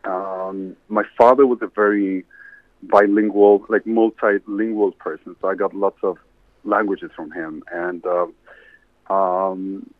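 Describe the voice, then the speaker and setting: A man from Sweden, answering interview questions in a rambling, halting way with many restarts. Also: low voice, fairly even intonation, no room sound. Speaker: interview guest, entrepreneur. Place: salad bar. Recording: tinny phone line.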